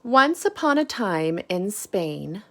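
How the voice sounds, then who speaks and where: Slow-paced woman reading a picture book aloud. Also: medium pitch, very animated, bright, forceful tone. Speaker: storybook reader. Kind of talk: read-aloud of a children's picture book with casual asides.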